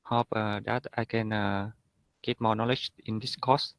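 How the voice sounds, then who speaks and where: A man from Vietnam, a course participant, introducing himself with many hesitant pauses. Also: fairly low pitch, steady tone, no room sound. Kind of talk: self-introduction on a call.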